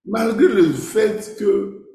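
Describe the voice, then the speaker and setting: A man's voice: high voice, extremely slow, gravelly, dark tone. A man preaching a sermon in French, emphatic and repetitive, quoting scripture and asking rhetorical questions. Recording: echoey, reverberant room.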